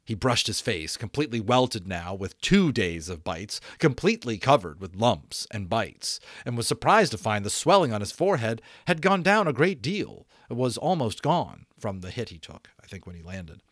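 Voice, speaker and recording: A man in his thirties narrates a novel in a fairly low voice. His delivery is expressive and dramatic, recorded close to the microphone with very little room sound. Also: normal speed, few pauses, dry recording. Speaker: audiobook reader, voice actor. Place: small home room, indoors. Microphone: close desk microphone.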